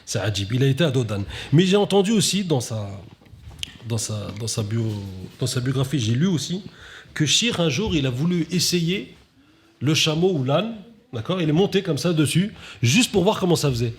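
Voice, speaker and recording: A man hosting a live talk, animated, who often drops Arabic religious phrases and lifts his voice to stress points. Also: normal speed, a little hiss or hum. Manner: animated, rising pitch when stressing points. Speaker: conference host. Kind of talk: conversational talk on stage.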